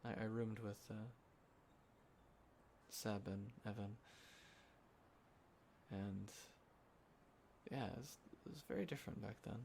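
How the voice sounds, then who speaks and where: Low-voiced, sentimental young man played by an actor. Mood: wistful, tender. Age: young adult. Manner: casual, halting, soft-hearted rambling. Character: sentimental young man catching up with a missed close friend. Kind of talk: intimate conversational roleplay audio acting. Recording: clear background ambience noise. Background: quiet city ambience.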